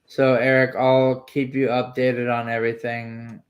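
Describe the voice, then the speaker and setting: A man in his late twenties speaks slowly on a livestream, his pitch barely moving. He hesitates often and lets sentences trail off. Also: completely flat delivery, lo-fi sound. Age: 20s. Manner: hesitant, lots of fillers, trails off with "so". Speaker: livestream co-host. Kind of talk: casual livestream chat.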